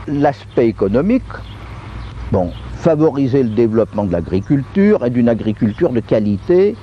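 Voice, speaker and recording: A mid-pitched man reflects in an interview, laying out points one at a time in measured fashion. The sound is slightly dull, with faint ambient noise. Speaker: former president of an intercommunal council, interviewed.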